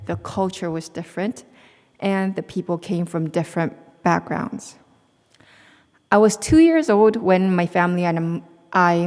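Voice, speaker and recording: A woman's voice: lowish voice, a little mellow, big-room sound. A young woman in her twenties with a Korean accent, earnestly telling her personal story to a gathering, with small restarts as she reads. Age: 20s. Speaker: student speaker. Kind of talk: prepared personal talk.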